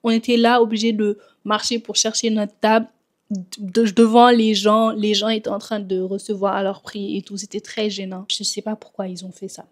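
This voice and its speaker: A woman's voice: medium pitch, flat delivery, moderate pace. A woman from Senegal chatting in a home vlog, a little congested from a cold, dropping English phrases into her talk. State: recovering from a cold. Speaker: vlogger. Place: at home in Montreal.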